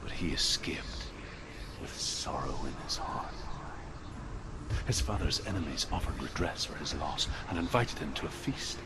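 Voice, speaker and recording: Deep, slow, haunted storyteller man. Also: heavy background noise. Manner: slow, grave, deliberate, sorrowful. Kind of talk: dramatic video game storytelling.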